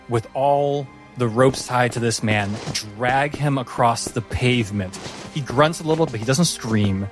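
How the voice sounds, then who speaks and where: Man narrating a tabletop game over music. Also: medium pitch. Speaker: game master.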